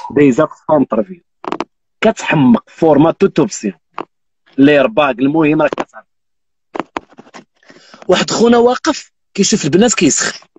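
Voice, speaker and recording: A man's voice: a little high, animated, fairly quick, pauses often, darkish tone, a little hiss or hum, low-quality recording. A Moroccan man in his thirties hosting a live stream, teasing and telling jokes with frequent oaths. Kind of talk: joking casual chat. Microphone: phone on live video call.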